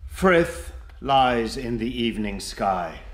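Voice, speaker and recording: Slow, entranced rabbit poet. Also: male voice, medium pitch, lively intonation, slight background noise. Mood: rapt, solemn. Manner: slow, reverent, incantatory. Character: dreamy male rabbit poet, solemn and entranced. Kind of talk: dramatic verse recitation.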